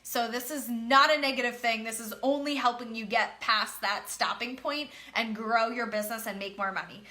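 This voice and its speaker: Woman coach chatting motivational stuff, very bright voice, bit nasal like she has a cold, repeats stuff for emphasis. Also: fairly high pitch, fairly even intonation, normal speed. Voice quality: slightly nasal. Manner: emphatic, repeats key phrases. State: congested from a cold. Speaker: business coach. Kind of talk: casual motivational talk to camera.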